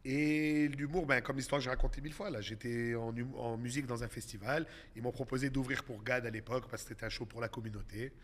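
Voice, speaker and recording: A man's voice: mid-range voice, fast, hardly any pauses. A man in his thirties with a Québécois accent, telling stories in a casual podcast chat and dropping English words. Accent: Québécois French. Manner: animated storytelling, mixes English words. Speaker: comedian guest.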